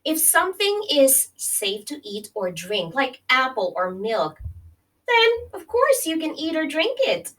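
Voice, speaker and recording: Slow, high-voiced woman teacher, slightly distant. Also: big pitch swings, fairly bright tone. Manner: addresses young listeners directly with friendly check-ins like "okay?" and "right?". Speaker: teacher reading aloud to children. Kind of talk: read-along children's health lesson, pausing to explain and question.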